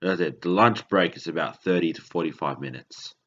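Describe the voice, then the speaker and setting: A man from Indonesia hosting a podcast, talking in a halting, rambling way and often correcting himself. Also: sits low, a bit bright. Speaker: podcast host. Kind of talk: casual monologue. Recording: dull lo-fi recording.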